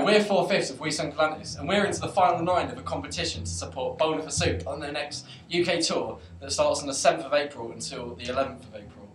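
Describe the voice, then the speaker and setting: A young man from a band, rambling and jokey as he promotes a competition in a casual video. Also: on the high side. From United Kingdom. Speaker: band member. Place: dark room, band seated on a couch. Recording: echoey room from far off.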